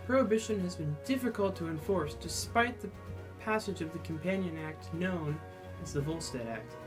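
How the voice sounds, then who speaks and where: A man's voice: a little high, animated, normal speed, music in the background. A man formally reading a scripted news report as a correspondent.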